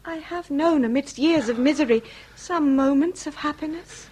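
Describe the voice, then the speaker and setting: A woman's voice: high voice, normal speed, a little mellow, lightly acted, low-quality recording. An actress playing a genteel, tender, conflicted aristocratic lady, effusive and formal, in melodramatic period radio drama. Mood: tender, wistful.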